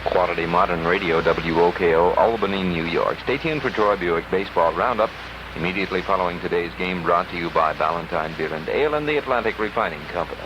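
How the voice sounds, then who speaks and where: A man's voice: deep voice, quick pace. An American man reading a station identification and sponsor message as a 1950s radio announcer. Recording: noisy, muffled 1950s radio broadcast.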